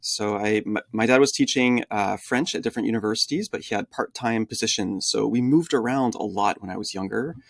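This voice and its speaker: A middle-aged man raised in the United States, a podcast guest telling his life story in an animated, chatty way with frequent fillers. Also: on the low side, fairly quick, creaky, few pauses, no room sound. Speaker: guest, translation agency founder. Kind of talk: conversational podcast interview.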